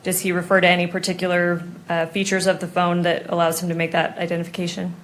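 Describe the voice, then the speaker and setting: American woman, courtroom lawyer, lowish flat voice, formal and precise, noisy room recording. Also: fast. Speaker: prosecuting attorney examining a witness. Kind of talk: courtroom questioning.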